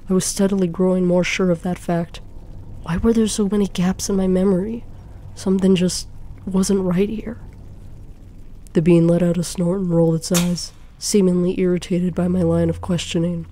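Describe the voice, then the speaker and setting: A woman narrating a suspenseful first-person story in a dramatic, deliberate way. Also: on the low side, mellow. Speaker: fan fiction narrator. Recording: faint background noise.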